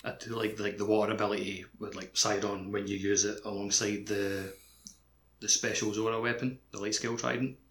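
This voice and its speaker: A man's voice: lowish voice, level tone, brisk, fairly bright tone. A man co-hosting a podcast, chatting casually about a game in loose, colloquial phrasing. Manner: colloquial, peppered with "like". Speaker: podcast co-host. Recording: roomy, echoey microphone sound.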